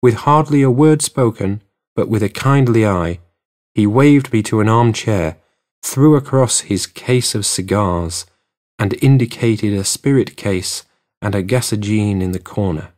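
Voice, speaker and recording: A man reading literary narration for an audiobook in a measured storytelling manner. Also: fairly low pitch, darkish tone.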